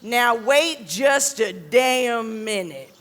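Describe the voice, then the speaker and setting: A woman's voice: on the high side, expressive, extremely slow, fairly bright tone, exaggerated voice. A woman playing a blunt, exasperated superior officer in a comedic stage dialogue. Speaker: actor. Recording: echoey reverberant hall.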